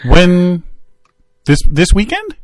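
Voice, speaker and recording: Lively joking man hosting a podcast. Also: high-pitched, takes it slowly, no room sound. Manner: joking, teasing banter. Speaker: podcast host. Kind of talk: casual chat. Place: studio.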